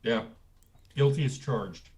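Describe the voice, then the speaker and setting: A young man from Texas talks with a blunt, ranting edge. His voice sits at an ordinary middle pitch, and he speaks in easy, casual podcast banter full of strong opinions. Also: slow, a little mellow. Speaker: podcast co-host.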